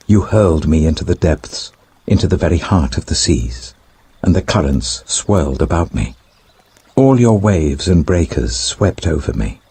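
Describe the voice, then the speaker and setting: A man's voice: low voice, dark tone, breathy edge, heavily acted. A British man voicing a grave, devout, repentant prophet in a solemn, declamatory scripture reading.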